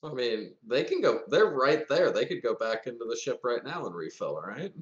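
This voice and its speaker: A man's voice: medium pitch, sing-song, moderate pace, echoey room, highs cut off. A man playing a tabletop game, chatting casually about the rules.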